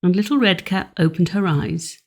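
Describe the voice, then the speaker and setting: Creaky-voiced woman reading a fairy tale. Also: on the low side. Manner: measured, expressive storytelling. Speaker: audiobook narrator. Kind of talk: scripted fairy tale narration.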